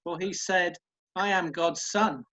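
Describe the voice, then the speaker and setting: A woman's voice: lowish voice, slow pace, fairly bright tone. A woman playing a scornful, derisive onlooker in an amateur Passion reading, over dull video-call audio. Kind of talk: amateur dramatic scripture reading.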